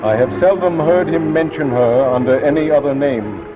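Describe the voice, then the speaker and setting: A man plays a mechanical reader in a vintage radio play, reciting old detective tales formally. The voice is tinny and thin like a phone line, slightly high, with prominent music behind it. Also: normal speed, natural voice. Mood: formal recitation. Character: man-shaped reading machine reciting Victorian detective stories aloud. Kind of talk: 1950s radio drama, formal literary read-aloud.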